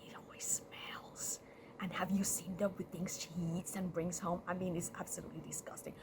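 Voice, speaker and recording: A woman's voice: medium pitch, big pitch swings, normal speed, noisy background, natural voice. A woman voicing a greedy, two-faced male rat in a story, gushing, sly and theatrical. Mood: sneering, disgusted. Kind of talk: live oral storytelling.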